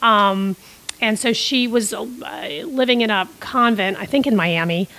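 A woman's voice: mid-range voice, a little slow, a bit bright, rough recording. A middle-aged woman who grew up in Costa Rica, telling long stories as a podcast guest with many restarts and fillers. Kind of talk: casual interview storytelling.

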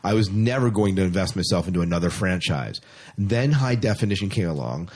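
A man's voice: sits low, darkish tone. A man co-hosting a podcast in a casual, informal chatting style, sometimes reading out listener messages. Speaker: podcast co-host.

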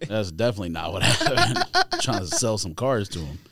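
A man's voice: on the low side, expressive, brisk, sharp tone. A man co-hosting a podcast, teasing and telling stories in casual slang. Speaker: podcast co-host. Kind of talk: casual chat and storytelling.

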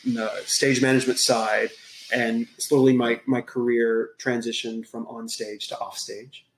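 A man's voice: mid-range voice, a little hiss or hum. Echoey Texan man telling his story. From Texas. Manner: relaxed storytelling with many pauses and ums. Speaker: podcast guest, gym owner. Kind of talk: casual interview talk.

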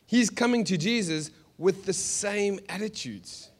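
A South African man preaching conversationally to a congregation, animated, with joking asides and rhetorical questions. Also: sits high, measured pace. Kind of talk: sermon to a live congregation, conversational storytelling.

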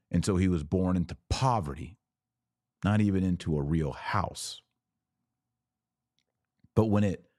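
A man's voice: low voice, mellow, dry recording, clean studio sound. An American man hosting a religious podcast, talking informally to online viewers in an emphatic way with repeated phrases and rhetorical questions.

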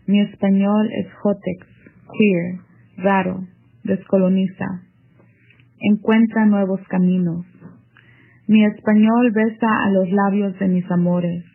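A woman reciting a poem slowly, switching between Spanish and English. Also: mid-range voice. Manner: slow, measured poetic recitation mixing Spanish and English. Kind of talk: reading a poem aloud. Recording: tinny phone-like line.

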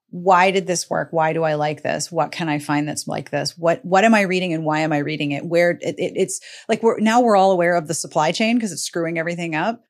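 A woman hosting a casual podcast conversation, talkative and emphatic with a wry touch. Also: on the low side. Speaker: podcast host.

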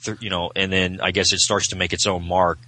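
A man's voice: deep voice, level tone, fairly quick, bright, forceful tone, no echo. A middle-aged American man in casual conversation, wry, often restarting and repeating his phrases. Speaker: podcast guest, author. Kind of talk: casual chat.

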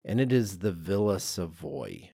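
A middle-aged man co-hosting a podcast in a conversational, animated and emphatic way, with some self-deprecation. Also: low voice, measured pace, gravelly, breathy edge, no echo, clean studio sound. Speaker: podcast co-host.

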